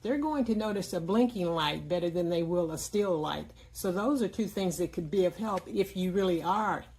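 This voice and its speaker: A woman giving a casual, explanatory product demonstration in plain words, often pausing mid-sentence. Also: on the low side, darkish tone, husky. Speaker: product reviewer.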